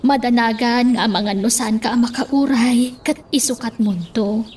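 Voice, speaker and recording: A woman's voice: fairly high pitch, fairly even intonation, measured pace, darkish tone, a little hiss or hum, natural voice. A woman playing a worried, insistent older mother figure in an Ilocano radio drama.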